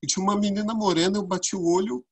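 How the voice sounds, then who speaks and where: A man co-hosts a relaxed podcast chat. His voice is slightly husky and pitched a little high. He tells lively stories and leaps even higher when he acts out what people said. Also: rapid-fire. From Brazil. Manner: animated storyteller, voice jumps high when acting out quotes. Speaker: podcast co-host.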